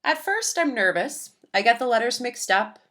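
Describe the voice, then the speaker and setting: A woman voicing an earnest, shy young schoolgirl who narrates her own storybook tale with deliberate, childlike phrasing. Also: fairly high pitch, lively intonation, a bit bright.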